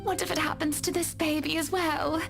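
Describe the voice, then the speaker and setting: A woman's voice: high-pitched, steady tone, normal speed, vocal fry, music in the background. A woman playing an anxious, well-to-do young pregnant wife in a visual novel, speaking in a refined, theatrical, gracious way. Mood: anxious, wistful. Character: anxious pregnant high-society wife, gracious but insistent.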